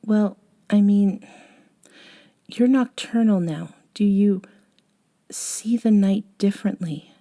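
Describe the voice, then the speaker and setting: A woman acting as a gentle, caring young woman in an intimate ASMR audio drama. Her voice is dark and mellow, and she talks slowly and hesitantly. She often softens into a breathy whisper, with a tender, slightly wistful temper. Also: medium pitch. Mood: gentle curiosity. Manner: soft, hesitant, often sighing and dropping to a whisper.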